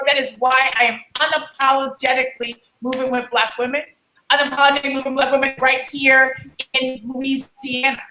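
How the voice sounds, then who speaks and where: A woman's voice: sits high, a bit bright, a little hiss or hum. A woman talking passionately and from the heart on a video call. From New Orleans, USA. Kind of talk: casual discussion. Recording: echoey room.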